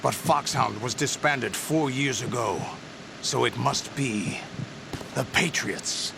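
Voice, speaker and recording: An actor playing a cold, commanding terrorist leader in a video game, curt and gravelly. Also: male voice, medium pitch, slow pace. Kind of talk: video game cutscene acting. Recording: noisy vehicle-like ambience behind.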